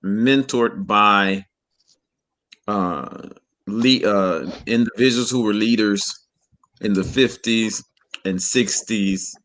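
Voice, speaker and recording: A man in his forties speaks to young listeners in a measured, deliberate way, often repeating a word before going on. His voice sits somewhat low for a man. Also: a bit bright, a little hiss or hum. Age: 40s. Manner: measured, repeats words while searching. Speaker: community elder speaker. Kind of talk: public address.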